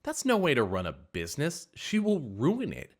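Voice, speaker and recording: A man voicing an entitled, spiteful older woman server who scolds and rants loudly. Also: wildly swooping pitch, moderate pace, heavily acted. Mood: shrill indignant. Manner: shrill, scolding, indignant.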